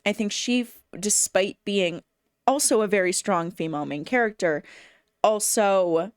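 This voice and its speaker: Clear-voiced woman chatting about books. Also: mid-range voice, unhurried, no echo, clean studio sound. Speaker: bookseller and book reviewer. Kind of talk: casual book review chat to camera. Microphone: phone camera.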